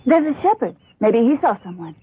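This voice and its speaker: An actress playing a steady, companionable young traveller in a dubbed vintage horror film, lively and teasing, with a husky voice. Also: female voice, fairly high pitch, fairly quick, full character voice. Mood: curious. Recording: muffled tinny old film soundtrack.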